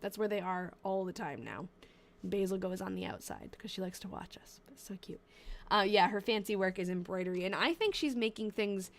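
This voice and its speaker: A woman in her twenties with a stuffy nose and a fading voice, chatting casually on a live stream and reading short diary lines aloud between her comments. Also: medium pitch. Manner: chatty, breaks off mid-sentence, reads short diary lines then comments. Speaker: livestream host reading old diaries.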